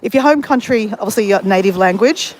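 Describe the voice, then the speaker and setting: A woman's voice: medium pitch, fairly quick, darkish tone, a little hiss or hum, poor audio. An Australian woman chatting casually while walking on a beach, telling a rambling story full of self-corrections. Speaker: vlogger. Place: outdoors on a beach.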